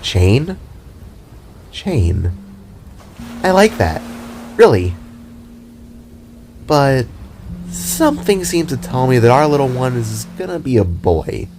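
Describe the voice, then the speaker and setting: A man voicing a gentle, loving expectant mother in a fantasy audio drama, speaking softly and tenderly. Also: medium pitch, sing-song, slow, long pauses, a little hiss or hum, voice pushed up. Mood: pleased, thoughtful.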